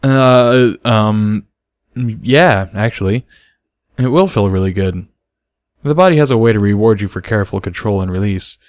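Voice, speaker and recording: A man's voice: fairly low pitch, measured pace, no echo, clean studio sound, natural voice. A man voicing an awkward male pony mentor who explains things carefully and hesitantly in a story. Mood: careful, embarrassed.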